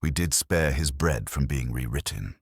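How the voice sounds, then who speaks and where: A man's voice: very deep voice, animated, moderate pace, husky, no echo, clean studio sound, full character voice. A man voicing an imperious, pedantic genius detective who speaks in formal, pompous pronouncements in an audiobook. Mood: dry, composed.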